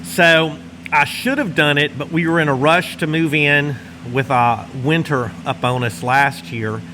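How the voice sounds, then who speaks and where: Casting: middle-aged Louisiana drawl, folksy, rambling host, a touch high for a man, heater humming behind. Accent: Northwest Louisiana Southern drawl. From Northwest Louisiana. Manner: easygoing, meandering, folksy drawl, pauses while smoking a cigar. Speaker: channel host. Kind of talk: casual rambling chat to camera. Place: large insulated home garage workshop, indoors. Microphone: camera close in front, seated. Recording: steady heater hum behind voice. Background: heater running.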